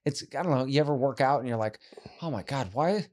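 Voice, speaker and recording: A man's voice: mid-range voice, fast, a little mellow. A man co-hosting a casual podcast, asking curious, thoughtful, long winding questions.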